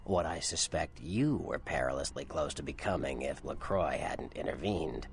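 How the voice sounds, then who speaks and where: An actor voicing a cynical, streetwise vampire informant in a video game, gravelly, wry and blunt. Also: male voice, very deep voice, expressive, moderate pace. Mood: matter-of-fact. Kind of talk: video game character dialogue.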